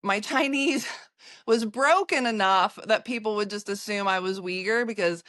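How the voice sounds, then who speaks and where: An American woman, a photographer, recounting her travels in an interview, halting often with fillers and restarts. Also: medium pitch, a bit bright, no echo. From United States.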